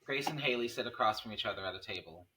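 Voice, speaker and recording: A man's voice: on the low side, fast talker, background noise. A man reading screenplay stage directions as narrator at a table read.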